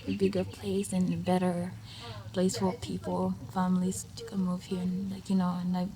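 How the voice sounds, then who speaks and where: A woman's voice: fairly low pitch, monotone, moderate pace, a crowd in the background. A young woman from Africa giving a casual interview, hesitant and full of fillers, often trailing off to a near-whisper. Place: outdoor festival.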